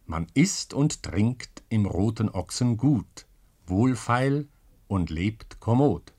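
A man playing the solemn, formal narrator of an old chronicle comedy in a 1950s radio drama. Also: on the low side. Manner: measured, formal.

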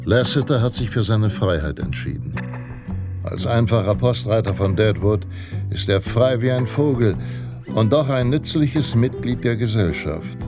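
Western radio-play narrator, low voice, solemn, measured, plucked guitar behind. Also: male voice, expressive. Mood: solemn, heroic. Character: solemn western narrator telling a lone hero's tale. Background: plucked guitar music.

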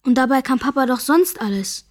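A woman's voice: sits high, expressive, a little fast. A woman playing an innocent, earnest little boy in a children's radio play. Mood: innocent, puzzled. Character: naive, eager little boy admiring his father. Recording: woodwind music behind the voice.

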